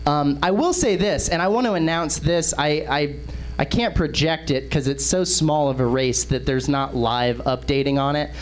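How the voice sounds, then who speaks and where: A man from Texas with an American accent hosts a comedy panel show in casual, profane, excitable banter. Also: fairly high pitch, moderate pace, slight background noise, rough recording. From Texas, United States. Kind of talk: casual comic political chat.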